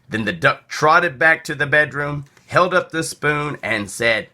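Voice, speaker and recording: A man narrates a children's picture book with a bright, lively voice. He reads slowly and deliberately, with theatrical swings of emphasis for young listeners. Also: on the high side. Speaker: storybook reader. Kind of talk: expressive children's read-aloud narration.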